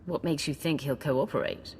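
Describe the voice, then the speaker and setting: An actress playing a cool, probing crew leader in a video game, direct in manner. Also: female voice, deep voice, normal speed, darkish tone, faint music behind, close to an everyday voice. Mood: cool, questioning. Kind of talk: video game cutscene acting.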